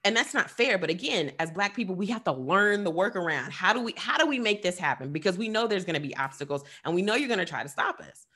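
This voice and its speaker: Podcast co-host, woman, emphatic and lively, wide pitch swings. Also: fast talker, hardly any pauses. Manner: animated, emphatic, laughing asides. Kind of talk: passionate casual discussion.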